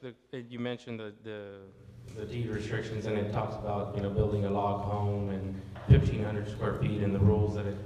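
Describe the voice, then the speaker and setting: A low, flat, mellow male voice asks halting questions from far off the mic. The room is very echoey with a long reverb, and faint clicks and noise sit behind him. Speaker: resident asking questions. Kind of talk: public comment. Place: council meeting room. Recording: distant, echoey hall.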